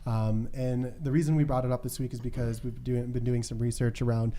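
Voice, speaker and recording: A man's voice: medium pitch, flat delivery, a little fast, dark tone. A man co-hosting a podcast, talking in a casual, conversational way. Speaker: podcast co-host.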